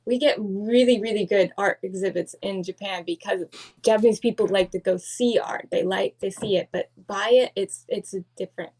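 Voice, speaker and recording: A woman's voice: medium pitch, normal speed, darkish tone, poor audio. A woman, a guest artist, telling stories with animation in a casual podcast chat, with many fillers.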